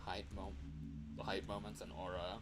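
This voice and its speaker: A man's voice: deep voice, flat delivery, unhurried, very noisy. A man with a worn, strained voice giving casual, rambling livestream commentary while he plays a game, easily sidetracked from what he was saying. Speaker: livestreamer playing games. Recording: loud game music behind voice.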